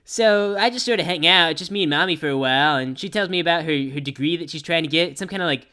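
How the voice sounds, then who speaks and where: A woman's voice: low-pitched, fast, sharp tone. A woman voicing a brash, easygoing young cartoon pegasus pony who tells a story in a casual, rambling slacker way. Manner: casual slacker rambling, full of "like" and "you know". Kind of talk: improvised-sounding comic cartoon storytelling.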